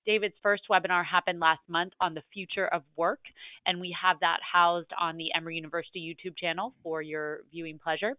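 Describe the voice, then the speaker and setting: A woman's voice: lowish voice, dry recording. A woman moderating a webinar, reading polished scripted announcements and an introduction. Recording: dry, tinny phone-like webinar line.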